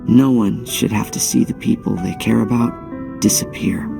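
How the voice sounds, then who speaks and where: An actor playing an earnest young hero in a game cutscene, reflective and heartfelt, with dramatic pauses. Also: male voice, on the low side, gravelly, music in the background. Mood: wistful, sorrowful. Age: young adult. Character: earnest young male hero, determined and wistful. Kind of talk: scripted game cutscene acting.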